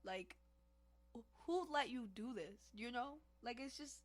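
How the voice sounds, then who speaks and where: A woman's voice: on the high side, measured pace. Young haitian-born woman cohosting a podcast, loose chatty style, gets fired up and emphatic. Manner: animated, emphatic, addresses listeners as y'all. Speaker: podcast co-host. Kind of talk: casual chat.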